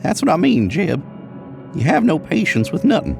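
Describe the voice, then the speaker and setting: A man's voice: fairly high pitch, wildly swooping pitch, moderate pace, creaky, over music, heavily acted. A man voicing an older, rambling American cowboy in an audio horror story, with a folksy, drawling manner. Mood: testy. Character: older rambling cowboy spinning a spooky trail tale.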